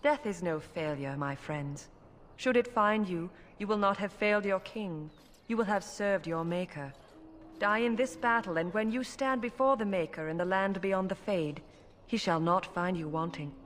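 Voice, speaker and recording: A woman's voice: medium pitch, moderate pace, a little hiss or hum, natural voice. A woman playing a solemn priest who delivers an oratorical blessing to soldiers in a fantasy video game.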